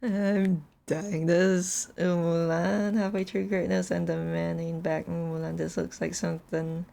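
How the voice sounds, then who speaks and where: A woman's voice: on the low side, flat delivery, takes it slowly, gravelly, dark tone. A woman livestreaming a game, musing aloud and reacting with exasperation in casual commentary.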